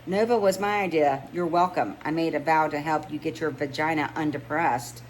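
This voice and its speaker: A woman's voice: low-pitched, normal speed, lightly acted, low-quality recording. A woman voicing a sassy, teasing female best friend in a romance audiobook, with emphatic delivery. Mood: teasing, insistent. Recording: noticeable background noise.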